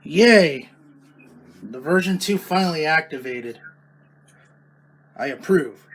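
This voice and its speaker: A man chatting casually and excitably as the host of a homemade video. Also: on the high side, slow pace. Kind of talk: casual vlog chat. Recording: noisy room with loud siren tones.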